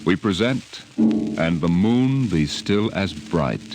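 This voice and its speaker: Loud music sits behind a deep male narrator on a vintage radio recording. He delivers scripted 1950s drama narration with measured, dramatic weight. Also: expressive, rough recording.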